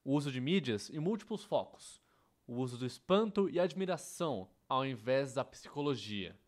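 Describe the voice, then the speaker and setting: A man's voice: medium pitch, expressive, moderate pace. A man with a Brazilian accent presenting an educational course in scripted narration. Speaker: educational presenter dubbing a theatre history course.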